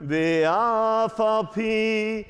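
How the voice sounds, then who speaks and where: A man with a high voice leads a communal sing-along and blessing at an unhurried pace. His manner is solemn and exhorting, and long hall reverb trails behind him. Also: fairly bright tone. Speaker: song leader and speaker giving a blessing. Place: large conference hall, on stage.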